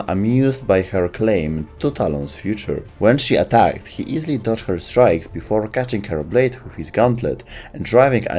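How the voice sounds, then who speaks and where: A man's voice: lowish voice, mellow, breathy edge. A man hosting a video, reading scripted narration about game lore, with some wry delivery.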